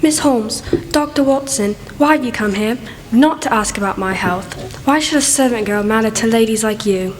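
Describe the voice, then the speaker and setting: A woman's voice: a little high, moderate pace, few pauses, soft background music, lightly acted. A woman acting in an amateur radio play as a forlorn, bitter young maid, with a plaintive, wounded manner. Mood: guarded, bitter. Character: young servant girl, forlorn deserted secret wife, bitter. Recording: echoey hall with faint noise.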